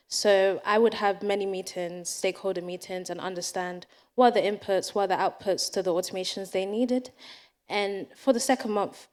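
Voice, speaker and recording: A young woman university student giving an explanatory talk to an audience, with small restarts. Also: mid-range voice. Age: young adult.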